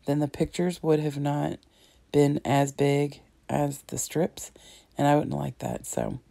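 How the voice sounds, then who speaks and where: Low, gravelly hoarse woman chatting. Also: lively intonation, takes it slowly, mellow, no room sound. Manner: chatty, rambling, frequent ums and throat-clearing. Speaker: scrapbooking crafter. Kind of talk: casual craft tutorial narration.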